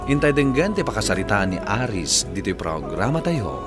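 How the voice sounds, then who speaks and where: Deeper, animated man narrating over music. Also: moderate pace. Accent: Ilocano. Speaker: radio drama presenter reading a letter. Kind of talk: scripted narration.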